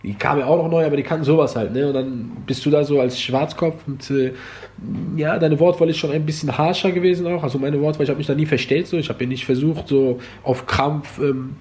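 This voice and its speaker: A man's voice: a little high, steady tone, a little fast, a little mellow. A man born and raised in Germany, a guest telling stories in a casual interview, laid-back and rambling with lots of fillers. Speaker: podcast guest, former online comedian.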